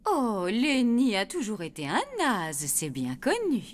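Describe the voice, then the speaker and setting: Slow, swooping cartoon zombie lady. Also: medium pitch, wildly swooping pitch. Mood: catty, recalling. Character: catty, affected zombie lady, gossipy and theatrical. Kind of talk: French cartoon dubbing.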